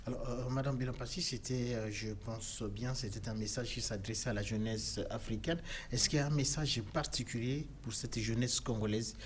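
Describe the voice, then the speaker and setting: Male news anchor, studio interview; formal and measured, middle pitch, light hiss behind. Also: steady tone. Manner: formal, measured, occasional stammering restarts.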